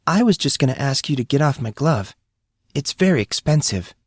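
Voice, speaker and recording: A man voicing a cool, intense teenage girl fighter with a curt, steady manner in an audiobook drama. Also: mid-range voice, normal speed, husky, no room sound, studio quality, voice pushed up. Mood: cold.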